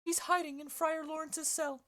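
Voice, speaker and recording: A woman putting on a shrill fussy old nurse. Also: flat delivery, normal speed, bright, forceful tone. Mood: bustling. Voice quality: shrill, wailing.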